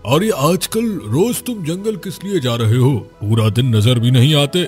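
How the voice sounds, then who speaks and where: A man's voice: mid-range voice, animated, moderate pace, darkish tone, faint music behind, lightly acted. A man playing a nosy, suspicious villager in a scripted cartoon moral story. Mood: curious, probing.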